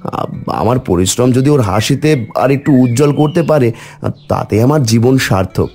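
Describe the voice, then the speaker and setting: A man's voice: mid-range voice, normal speed, a little mellow, lightly acted. A man voicing a humble, hardworking young farmer, tender and devoted, in a village cartoon. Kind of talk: cartoon story dialogue.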